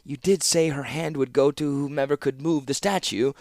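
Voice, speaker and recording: A man's voice: on the high side, fairly even intonation, moderate pace, a little mellow, no echo, clean studio sound, voice pushed up, lightly acted. A man voicing a nervous, trembling male court seneschal who stammers.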